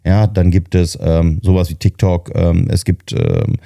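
A man in his fifties, a marketing head guesting on a podcast, speaking in a thoughtful, halting way with many fillers. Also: low voice, fairly even intonation, vocal fry, mellow, no room sound, studio quality. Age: 50s. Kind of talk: casual podcast talk.

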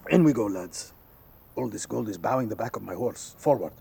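Swaggering, gravel-edged bandit chief. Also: male voice, on the low side, animated, a little fast, mellow, no echo, full character voice. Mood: gloating. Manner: theatrical, commanding, mocking. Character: swaggering murderous bandit chief of forty thieves. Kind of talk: audio drama acting.

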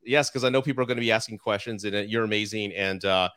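A man's voice: lowish voice, fast talker, a bit bright. A man in his forties hosting a live podcast interview, chatty and easygoing, laughing often and repeating himself as he talks. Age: 40s. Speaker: podcast host. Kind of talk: casual live-stream interview.

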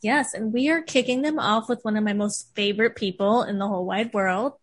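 A woman hosting a podcast in casual chat, gushing and chatty, with plenty of "like" and "um" and quick laughs. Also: medium pitch. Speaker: podcast host.

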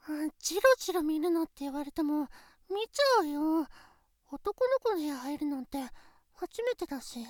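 A woman's voice: sits high, expressive, normal speed, darkish tone, no echo. A voice actress playing a shy, sweet teenage girlfriend who stammers when flustered, in a close-miked situation voice drama. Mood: chatty.

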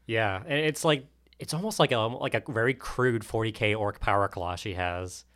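A man's voice: fairly low pitch, normal speed, fairly bright tone. A man chatting casually as a podcast host. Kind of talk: casual chat.

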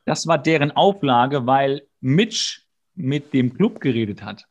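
A man's voice: medium pitch, lively intonation, takes it slowly. Playful man co-hosting a podcast. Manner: playful, mock-serious asides. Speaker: podcast co-host. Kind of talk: casual chat retelling a TV episode.

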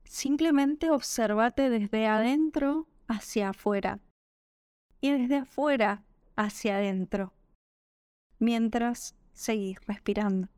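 A woman with a Rioplatense Spanish accent leads a guided meditation at a very slow pace. Her voice is fairly high and very smooth. She gives each instruction gently and calmly. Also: no room sound, clean studio sound. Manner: gentle, calming, unhurried instruction. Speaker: yoga nidra meditation guide.

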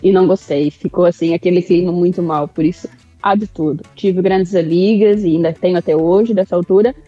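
A woman in her mid-thirties from Brazil, with a Brazilian accent tinged by European Portuguese, speaking as a guest in a remote interview in a reflective, halting way with restarts. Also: on the low side, fairly even intonation, mellow, slightly rough, no echo, highs cut off, faint music behind. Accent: Brazilian with European Portuguese touches. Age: mid-30s.

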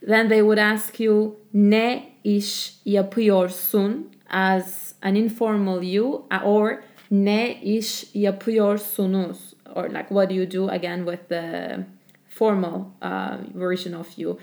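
A woman giving a relaxed, chatty language lesson, with many fillers, who repeats example phrases slowly. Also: medium pitch. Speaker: language teacher. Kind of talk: casual spoken lesson.

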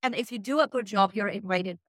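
A woman from Pakistan whose first language is not English talks in a frank, emphatic way as an interview guest. Her pitch sits in the middle of the female range. The sound is dry and close, with little room echo. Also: fairly quick. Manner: frank, energetic, emphatic advice. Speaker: guest, freelance writer. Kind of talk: casual interview talk.

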